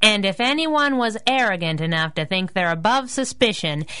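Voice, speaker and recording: Lively wisecracking heroine sidekick. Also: female voice, mid-range voice, normal speed, smooth. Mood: wry, brisk. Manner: snappy, wry, casual. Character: plucky wisecracking young woman sidekick, hero's driver. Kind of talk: 1930s-set radio superhero drama acting.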